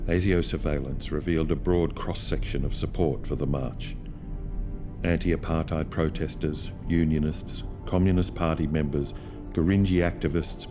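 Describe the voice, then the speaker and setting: A man reading scripted documentary narration. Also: very deep voice.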